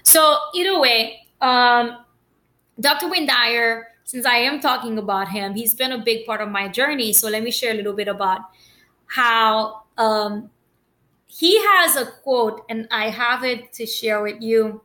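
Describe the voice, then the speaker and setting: A woman's voice: a little high, a little slow, bright, forceful tone. Chatty woman from Haiti, rambling. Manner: rambling, many fillers and restarts. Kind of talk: casual personal talk.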